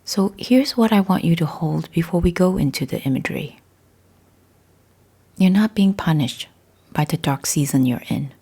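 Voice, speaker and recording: A woman leading a scripted guided meditation in a soft, breathy, husky voice, speaking deliberately in short phrases with pauses. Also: fairly low pitch. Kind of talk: scripted guided meditation, gentle spiritual talk.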